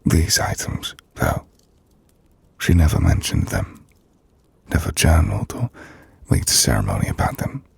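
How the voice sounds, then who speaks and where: A man playing an ancient, brooding vampire lord in an intimate ASMR roleplay, speaking formally and drily in a gravelly, breathy near-whisper. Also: extremely low pitch, wildly swooping pitch, no echo, full character voice. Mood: wistful, reflective. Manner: formal, measured, dry. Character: ancient brooding vampire lord, dryly teasing, courteous host.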